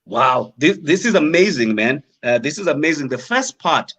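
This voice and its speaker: A man's voice: on the high side, big pitch swings, normal speed, a bit bright, slightly rough. A man hosting a podcast, asking encouraging questions and repeating words as he searches for them. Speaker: podcast host. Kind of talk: interview questions.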